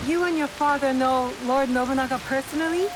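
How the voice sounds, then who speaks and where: A woman's voice: high voice, brisk, noisy background, lightly acted. An actress playing a determined young woman working undercover in a video game, polite but direct and probing. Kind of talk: video game cutscene acting.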